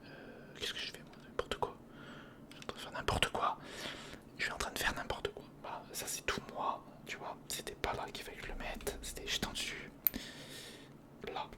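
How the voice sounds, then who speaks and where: A voice that stays in a whisper throughout, breathy and without tone. It is an ASMR creator commenting casually on a build, muttering and thinking aloud in short phrases. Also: moderate pace.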